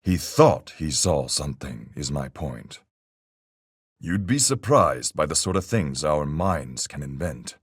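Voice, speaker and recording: A deep-voiced seafaring man in a detective game, calm and methodical. His husky voice moves freely up and down as he argues in folksy sailor speech. The recording is dry and close. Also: no echo, exaggerated voice. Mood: thoughtful, explanatory. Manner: folksy nautical speech, measured and reasoning. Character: calm seafaring man, salty sailor-sleuth reasoning through a murder. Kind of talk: video game voice acting, deliberate argument.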